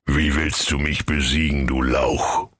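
Man doing a deep, grumpy fairytale giant. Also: level tone, unhurried, fairly bright tone, breathy edge, no room sound, studio quality, full character voice. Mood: menacing, mocking. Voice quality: deep, thundering. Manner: mocking, menacing. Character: huge grumpy male fairytale giant, mocking and menacing.

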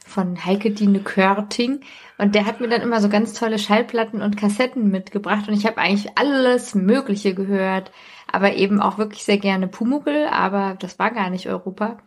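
A woman talking casually on a podcast in a chatty, anecdotal way. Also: medium pitch. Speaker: podcast co-host or guest.

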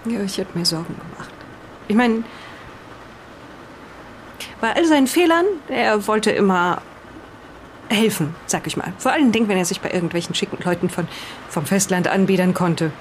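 A woman playing a sharp-tongued, grumbling island widow in an improvised audio drama. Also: mid-range voice, expressive, normal speed, pauses often, slight background noise, natural voice. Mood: grumbling, bitter.

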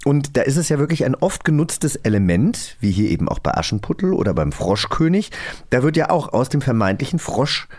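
A man's voice: medium pitch, very animated, fairly quick, a little mellow. A man chatting casually and enthusiastically as a podcast host. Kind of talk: casual chat.

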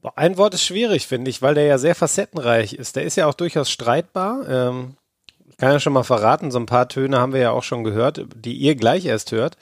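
A man's voice: medium pitch, fairly quick, dry recording, clean studio sound. A man co-hosting a podcast, talking casually and thoughtfully with many fillers. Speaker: podcast co-host.

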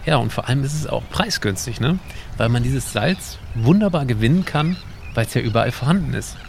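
A man's voice: medium pitch, animated, a little fast, gravelly, slight background noise. A man playing a curious, chatty time traveller in an educational audio drama, explaining things in a conversational way. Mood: relaxed, explaining. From Baltic coast, northern Germany.

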